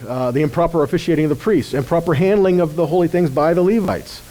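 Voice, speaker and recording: A man teaches scripture in a relaxed, conversational way. His voice sits a little high and sounds warm and mellow. He often checks in with his listeners as he explains. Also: poor audio. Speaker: ministry teacher.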